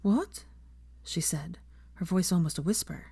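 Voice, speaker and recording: Woman reading a story audiobook style, lowish voice, really dry recording, expressive. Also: normal speed, dry recording. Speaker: fan fiction narrator. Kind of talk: expressive scripted audiobook narration.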